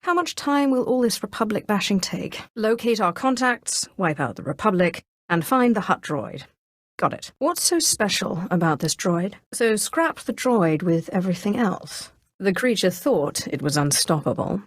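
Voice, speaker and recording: A woman's voice: medium pitch, very animated, moderate pace, dry recording, clean studio sound. A woman playing a haughty, menacing Sith sorceress in scripted video game dialogue, sardonic and imperious. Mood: imperious, impatient. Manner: imperious, sardonic, crisply commanding.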